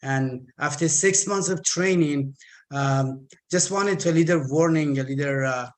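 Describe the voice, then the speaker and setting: A man in his fifties from Iran, speaking with an Iranian accent and halting, repeated words as he gives a personal talk as a guest speaker. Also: a little high, poor audio. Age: 50s. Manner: halting, stammered repetitions.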